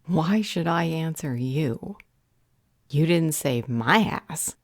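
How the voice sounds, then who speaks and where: A woman playing a defiant, possessive, sharp-tongued vampire healer in an audio drama, speaking in a cutting, deliberate way. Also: low-pitched, lively intonation, no room sound, clean studio sound, heavily acted. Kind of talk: dramatic audio roleplay acting.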